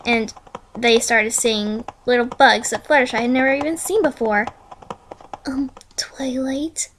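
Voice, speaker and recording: A woman's voice: a little high, normal speed, a bit bright, a little hiss or hum. A woman reading fan fiction as an informal storyteller, conversational and stumbling over words. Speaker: amateur fan fiction reader.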